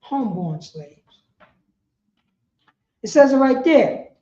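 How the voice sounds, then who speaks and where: A woman's voice: sits high, animated, slow pace, warm tone. Female religious teacher, impassioned and insistent, recorded in a roomy echo with muffled fidelity. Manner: emphatic, repetitive, rhetorical questioning. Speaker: class teacher, preacher. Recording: echoey room, dull lo-fi sound.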